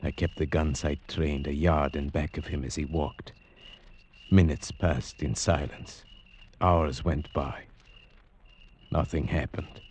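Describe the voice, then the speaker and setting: A man narrating an old-time radio drama in a measured, suspenseful way. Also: a bass voice, fairly even intonation, a little mellow, slight background noise. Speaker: narrator and lead actor.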